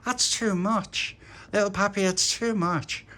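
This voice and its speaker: A man voicing an excitable, generous young boy on his birthday, in a high, breathless child voice. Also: unhurried. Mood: eager.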